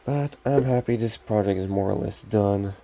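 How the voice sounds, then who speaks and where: A young man with a scratchy, gravelly voice from a recent illness, giving a casual, unhurried, off-the-cuff show-and-tell as a hobbyist. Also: lowish voice, warm tone. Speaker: hobbyist collector showing restored radio.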